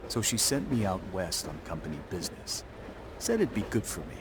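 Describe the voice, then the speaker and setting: An actor playing an earnest, principled young war veteran of Lakota descent, measured and sincere, in a video game cutscene. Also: male voice, low-pitched, sing-song, very noisy. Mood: reflective.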